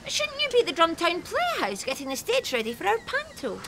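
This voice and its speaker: A woman's voice: extremely high pitch, brisk, background noise, exaggerated voice. A middle-aged woman playing a scatty, affectionate taxi driver in a children's TV show. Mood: cheerful. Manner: exclamatory, expressive. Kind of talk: children's TV acting.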